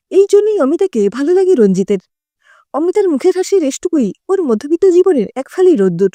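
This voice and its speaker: A woman narrates a scripted story. Her voice is high and soft-toned, with animated intonation. The sound is dry and close. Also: dry recording.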